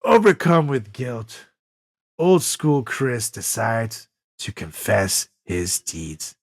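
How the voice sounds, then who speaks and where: A man podcast host narrating a comedic story with dramatic pauses, over background music. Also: mid-range voice, big pitch swings, no room sound, studio quality. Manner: dramatic pauses, drawn-out delivery.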